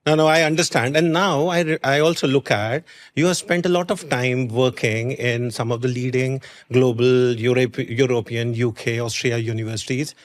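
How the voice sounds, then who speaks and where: A man's voice: mid-range voice, fairly even intonation, normal speed. A man from India hosting a career podcast, asking long conversational questions with frequent fillers and restarts. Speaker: podcast host. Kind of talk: podcast interview.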